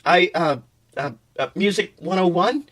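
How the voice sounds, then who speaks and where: A man's voice: high voice, measured pace, a bit bright. Flustered male newcomer student, read by a man, hesitant, stammering, for comic story narration. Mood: nervous.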